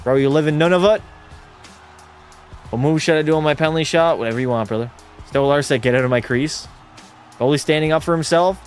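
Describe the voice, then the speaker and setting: Male streamer calling hockey and chatting with viewers, a touch high-pitched, laid-back delivery. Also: fairly quick, long pauses, faint music behind. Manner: relaxed, drops into terse hockey call mode. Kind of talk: casual play-by-play commentary and chat reading.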